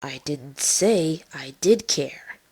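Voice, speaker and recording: A cool, guarded party pony played by a woman with a husky voice. She talks slowly and tersely, in a matter-of-fact, deadpan way, as in an audio fan drama. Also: low-pitched, full character voice, rough recording. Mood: hesitant, guarded.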